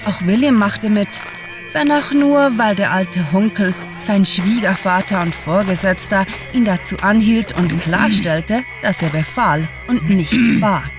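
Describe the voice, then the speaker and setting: A woman's voice: medium pitch, a little slow. A woman narrator reading a scripted story as a storyteller. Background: music.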